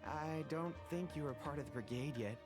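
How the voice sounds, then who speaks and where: An actor voicing a joking, excitable male mercenary in a video game, talking loosely and teasingly. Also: fairly high pitch, normal speed, music in the background, lightly acted. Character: jovial, teasing male mercenary brigade member.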